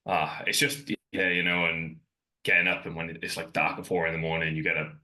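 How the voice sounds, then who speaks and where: A man's voice: sits low, flat delivery, fairly quick, pushed and bright, poor audio. A Welsh man in his thirties, a guest on a podcast who works as a fitness coach, talking candidly and loosely and rambling with fillers. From Wales. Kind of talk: casual chat. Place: in Ireland, remote.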